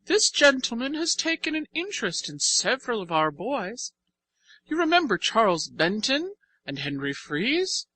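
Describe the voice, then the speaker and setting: A woman voicing a self-important orphanage matron, formal and measured, in an audiobook. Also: a little high, big pitch swings, bright, forceful tone, slightly rough, no echo, voice pushed up, heavily acted, low-quality recording. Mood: pompous, measured. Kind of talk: audiobook character voice.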